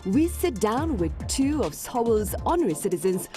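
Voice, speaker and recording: A woman's voice: fairly high pitch, big pitch swings, normal speed, over music. A woman hosting a broadcast TV interview in a studio, speaking in polished, formal phrases. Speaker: TV interview host.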